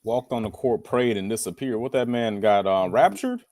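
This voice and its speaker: A man's voice: mid-range voice, lively intonation, moderate pace, a little mellow, no echo, clean studio sound. A man co-hosting a podcast, talking in a laid-back, joking way with many fillers. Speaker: podcast co-host. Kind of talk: casual chat.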